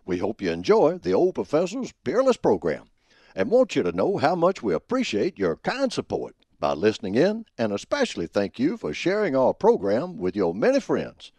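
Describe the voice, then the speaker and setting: A man performing as a folksy, pompous old football professor, giving grandiloquent scripted comic radio commentary in a husky voice. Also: medium pitch, huge pitch swings, normal speed, mellow, no echo. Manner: folksy, grandiloquent alliterative delivery. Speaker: host of a college football prediction show.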